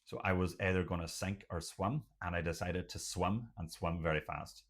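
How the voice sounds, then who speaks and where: A middle-aged man who has a stutter, speaking earnestly and emphatically to viewers in short, measured phrases, with a motivational tone. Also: low-pitched, fairly even intonation, fairly bright tone. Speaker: doctor sharing personal experience of stuttering. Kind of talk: motivational personal talk to viewers.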